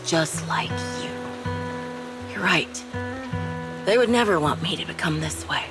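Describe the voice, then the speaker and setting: Weary vengeful soldier villain lady, slow and brooding game cutscene voice, loud music behind. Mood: weary resignation. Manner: slow, brooding, theatrical delivery with breathy low chuckles. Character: vengeful female ex-soldier villain, weary, bitter, coldly mocking. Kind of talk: dramatic game cutscene acting.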